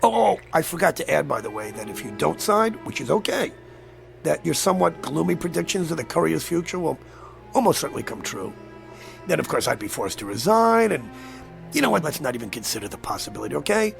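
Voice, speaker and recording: A man's voice: fairly high pitch, sing-song, a little fast, creaky, heavily acted. An older man acting in a radio drama as a sly, gleeful devil posing as a crazy old man, with a wheedling, mock-polite manner. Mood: teasing, goading. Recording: music under the voice.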